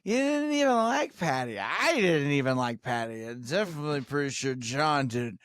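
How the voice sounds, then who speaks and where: A man's voice: medium pitch, expressive, normal speed, a bit bright, smooth, no room sound, studio quality, pitched below the performer's own voice, close to an everyday voice. A man voicing the brash, boozy ghost of an older mother, sarcastic, sweary and nagging in a comedy sketch. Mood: brash, indignant.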